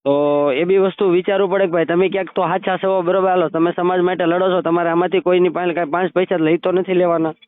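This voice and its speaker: A man's voice: high-pitched, level tone, fast talker, clear, resonant tone, no room sound. A man chatting casually on a recorded phone call, often checking that the listener agrees. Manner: repeatedly seeks agreement with tag questions. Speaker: caller.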